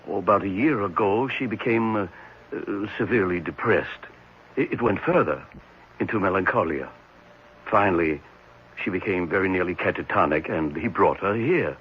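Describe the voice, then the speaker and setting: A man playing a thoughtful, assured psychiatrist in a 1970s radio drama, explaining things in a measured, reflective way with some hesitation. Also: low-pitched, lively intonation. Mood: grave. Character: thoughtful, assured male psychiatrist at a private clinic. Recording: muffled, noisy 1970s radio recording.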